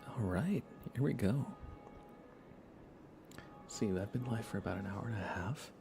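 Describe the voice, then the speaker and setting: A man's voice: fairly low pitch, expressive, unhurried, vocal fry, music in the background. A man hosting a casual ASMR game livestream, chatty and informal, sometimes slipping toward a whisper. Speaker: livestream host.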